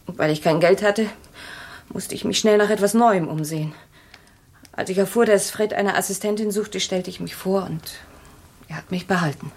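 An actress playing a guarded, wistful widow and former stage assistant in a radio crime play, speaking in a measured, confessing way. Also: female voice, medium pitch, a little mellow, slightly rough.

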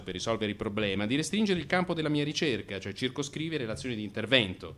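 Male for radio drama: agitated, frustrated man confessing to his analyst, theatrical, very wide pitch swings. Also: fairly bright tone. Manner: theatrical, swinging between muttering and outbursts. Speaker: actor in a radio monologue.

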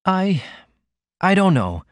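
A prim young woman, anxious and quietly reproachful, voiced by a male reader. Her lines sit noticeably higher than the narration and lean toward pleading. The recording is dry and close, with no room around it. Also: sing-song, slow pace, no room sound, exaggerated voice. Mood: hesitant.

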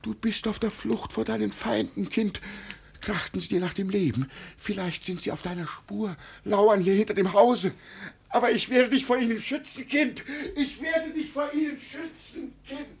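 A very husky, creaking old father in a vintage radio play. He believes he is an emperor and speaks with solemn, theatrical pleading. The voice sits fairly high for a man. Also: normal speed, heavily acted. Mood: protective fervour. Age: older. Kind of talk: 1950s German radio play acting. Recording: vintage 1950 radio recording.

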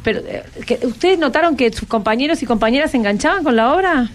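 Higher-pitched woman hosting radio. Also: animated, quick pace. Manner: encouraging, guiding with short questions. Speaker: radio host. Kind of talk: conversational interviewing of students. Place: radio studio. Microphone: studio microphone. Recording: music behind the voice.

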